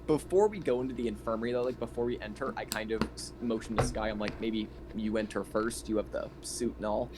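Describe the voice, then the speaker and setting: A man playing a tabletop roleplaying game, speaking casually and a little haltingly for his character. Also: on the low side, background noise.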